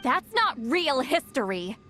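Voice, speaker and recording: A woman's voice: high voice, very animated, slow pace, sharp tone, soft background music, full character voice. An actress playing a tough, fearless female fighter in a video game, stern and commanding.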